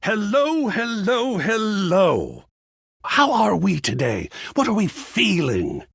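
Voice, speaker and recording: A man's voice: high voice, huge pitch swings, creaky, fairly bright tone, breathy edge, no room sound, studio quality, exaggerated voice. A man playing a flamboyant, cultured art curator in a video game, effusive and fussy, with grand theatrical phrasing. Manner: grandiloquent, dramatic drawn-out phrasing, swooping exclamations. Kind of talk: video game character voice lines.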